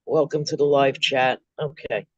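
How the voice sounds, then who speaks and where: A woman's voice: extremely low pitch, steady tone, moderate pace, no room sound, muffled recording. A woman co-hosting a casual political chat livestream, talking plainly and reading viewer comments aloud. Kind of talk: casual live-stream chat.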